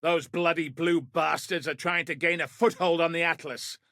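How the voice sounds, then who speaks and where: An actor playing a gruff, dutiful military commander in a video game, with a husky, rough-edged voice and a grave, dry, clipped delivery. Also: male voice, a little high, steady tone, moderate pace, a bit bright, no echo. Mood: fierce, urgent. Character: gruff, dutiful male military commander sending a warrior into danger. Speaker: video game character, quest giver. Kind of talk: scripted video game voice acting.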